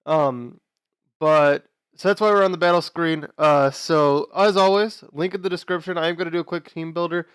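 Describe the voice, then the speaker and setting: A young man hosting a gaming video, talking casually through his team choices while sick, sounding nasal and quieter than usual. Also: a little high, steady tone, normal speed, clear, resonant tone, no room sound, studio quality. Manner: chatty, explains team choices with fillers and restarts. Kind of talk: casual gameplay commentary.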